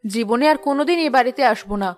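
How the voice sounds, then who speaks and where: A woman's voice: a little high, fast talker, natural voice. A woman playing an older village neighbour auntie in a cartoon drama, reproachful and easily offended. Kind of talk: Bengali cartoon drama acting. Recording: music clearly behind the voice.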